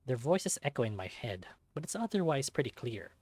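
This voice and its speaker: A man's voice: medium pitch, very animated, a little fast, darkish tone, slightly rough, no room sound. Man narrating, close dry sound. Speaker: visual novel narrator and reader. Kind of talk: expressive scripted first-person narration.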